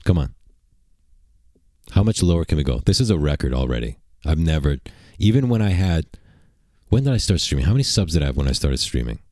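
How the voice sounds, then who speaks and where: A man's voice: a bass voice, very animated, fairly quick, warm tone, dry recording, clean studio sound. A man hosting a casual livestream at his computer, rambling and joking in a crude, provocative way. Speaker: streamer and channel host. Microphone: desk mic at a computer.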